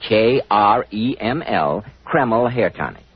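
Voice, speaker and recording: Animated, husky 1940s radio announcer man. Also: fairly low pitch, slow pace, dry recording. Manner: polished, emphatic, formal. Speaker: announcer and host. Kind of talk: 1940s radio announcing, scripted sales pitch. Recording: dull 1940s radio recording, faint music.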